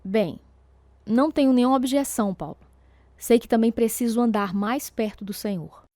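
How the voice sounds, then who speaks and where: An actress with a Brazilian accent playing a boxer's devoted, supportive wife and young mother in a radio drama, gentle and sincere as she speaks and narrates. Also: on the high side, normal speed, no echo, natural voice. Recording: guitar music under the voice.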